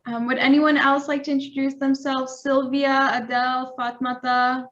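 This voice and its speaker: A woman's voice: sits high, level tone, moderate pace, a bit bright. A woman moderating a video call discussion, friendly and encouraging. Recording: dull lo-fi call audio.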